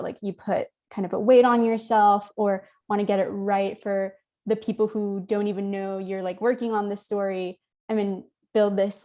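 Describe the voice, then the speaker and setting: A woman with an American accent and a mellow, dark-toned voice at an average pitch. She moderates in an informal, conversational way, building questions loosely with plenty of filler "likes". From United States. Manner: informal, frequent 'like'. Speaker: moderator, journalist. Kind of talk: casual interview chat. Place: remote virtual event.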